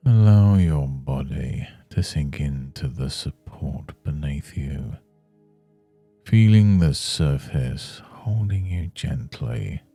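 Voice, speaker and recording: Very deep, dark-voiced man guiding meditation. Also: lively intonation, vocal fry, dry recording. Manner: soothing, measured, with long pauses. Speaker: meditation guide. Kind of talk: scripted guided meditation. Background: faint music.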